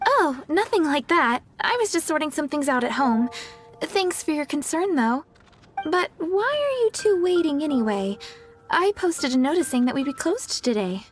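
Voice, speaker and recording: A woman's voice: sits high, very animated, moderate pace. A woman playing a polite, mild, apologetic young female shopkeeper in a video game. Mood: friendly, unbothered. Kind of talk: English anime-style video game voice acting. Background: background music.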